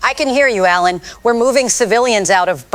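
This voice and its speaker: A woman's voice: on the high side, fairly quick, a bit bright, dry recording, lightly acted. A woman playing a frightened, urgent field reporter under attack in an apocalyptic news audio drama. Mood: panicked. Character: panicked young female field reporter in a convoy under attack.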